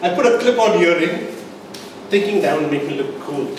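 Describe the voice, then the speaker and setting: A man telling a comic tall tale as a speech to a live audience, with theatrical pauses and an emphatic build-up. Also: high-pitched, animated. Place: indoor meeting room, recorded from the audience. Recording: distant microphone, echoey room, background noise.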